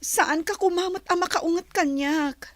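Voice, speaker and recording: A woman's voice: very high voice, measured pace, dry recording. A woman playing a heartbroken young woman in an Ilocano radio drama, speaking plaintively with a Filipino accent. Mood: pleading, upset. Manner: plaintive, emotional. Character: heartbroken young woman pleading with her boyfriend.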